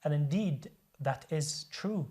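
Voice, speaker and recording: A man's voice: on the high side, expressive, moderate pace, mellow, husky. A man who works as a doctor, speaking as a guest in a studio discussion and explaining his points earnestly, often repeating words as he restarts a sentence.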